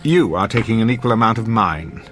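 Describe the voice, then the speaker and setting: Casting: male actor as an arrogant cynic and critic for radio drama, articulate, condescending, very animated pitch, light music underneath. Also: fairly quick, natural voice. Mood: droll, condescending. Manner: pompous, haughty, articulate.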